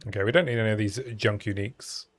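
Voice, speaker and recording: A man's voice: lowish voice, fast talker, soft background music. A young man with a soothing voice, chatting casually with his viewers as he streams a game. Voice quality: soothing sleep-story voice. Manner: relaxed, chatty, answering chat between plays. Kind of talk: casual live-stream commentary while playing.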